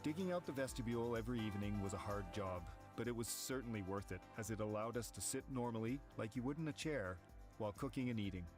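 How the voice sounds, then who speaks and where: Low-voiced male documentary narrator. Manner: measured, steady. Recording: voice-over with background music.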